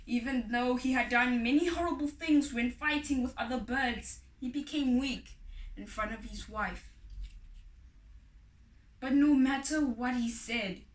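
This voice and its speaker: Slow, high-voiced woman storyteller in echoey room. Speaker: story reader. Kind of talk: expressive folk tale reading. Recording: echoey room, distant from mic.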